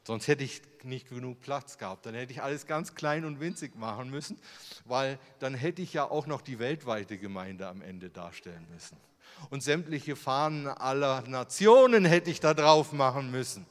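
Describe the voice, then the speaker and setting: A man's voice: mid-range voice, lively intonation, normal speed. A German man giving a sermon with slides, in a conversational way, full of rhetorical questions. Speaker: preacher. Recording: long hall reverb.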